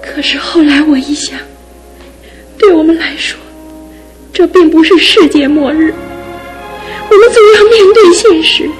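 A steadfast young fiancée speaks in a high, clear voice with earnest, pleading resolve. She sounds devoted and unshakable. Soft music runs faintly behind her. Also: unhurried, pauses often. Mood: resolute. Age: young adult. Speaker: radio drama actress. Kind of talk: broadcast radio drama acting.

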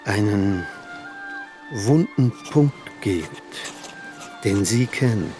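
An actor playing a cynical, eccentric middle-aged bachelor in a radio drama, speaking in a dry, formal, sardonic way. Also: male voice, on the low side, expressive, very slow, dark tone, poor audio. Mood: musing, indulgent. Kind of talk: radio drama, letter read in character. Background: music.

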